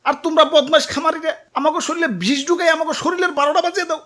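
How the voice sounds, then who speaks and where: High-pitched mouthy cartoon bull. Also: male voice, steady tone, racing, pushed and bright. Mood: indignant scolding. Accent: Bangladeshi. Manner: indignant, scolding, street-tough. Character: fed-up, mouthy talking bull threatening its tormentors. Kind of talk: cartoon comedy dialogue in colloquial dialect.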